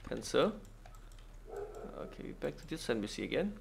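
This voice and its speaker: A man giving casual game walkthrough commentary, thinking aloud in halting, non-native English. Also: medium pitch, big pitch swings, creaky, a little hiss or hum. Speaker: gaming video host.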